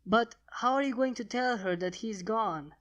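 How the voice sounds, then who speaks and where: A woman's voice: fairly high pitch, moderate pace, natural voice. A woman voicing a caring, slightly anxious female unicorn pony in a soothing way for a story. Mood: worried.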